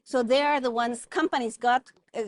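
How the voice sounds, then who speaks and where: Earnest woman, slightly high voice. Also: fairly even intonation, moderate pace. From Guatemala. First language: German. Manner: thoughtful, earnest, many fillers and restarts. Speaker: guest, mining consultant. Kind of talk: conversational interview.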